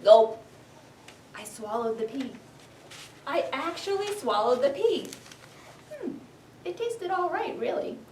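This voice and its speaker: A woman teaching young children, reading a storybook aloud expressively and giving clear, animated instructions. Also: high voice, slow pace, long pauses. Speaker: first-grade teacher. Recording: echoey classroom, distant, background noise.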